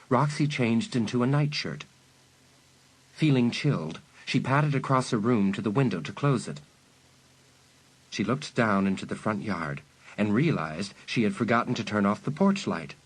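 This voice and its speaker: A man narrating a suspense audiobook in a measured, dramatic storytelling manner. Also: fairly low pitch, breathy edge, low-quality recording.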